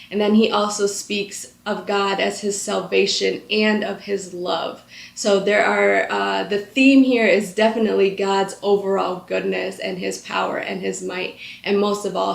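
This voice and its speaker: A woman's voice: medium pitch, creaky. Earnest woman teaching in an echoey room. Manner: earnest, conversational, with frequent "um" fillers. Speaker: Bible study host. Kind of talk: scripture reading and devotional teaching.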